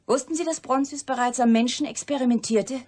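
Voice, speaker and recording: An actress playing a candid, bitter young female scientist in a radio crime drama, speaking deliberately and confidingly in a husky, breathy voice. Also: high-pitched, darkish tone.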